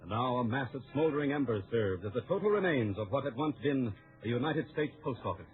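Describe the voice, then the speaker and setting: A man delivers dramatic vintage radio narration in a medium-pitched voice. The recording is tinny, with faint music behind him. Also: animated, a little fast.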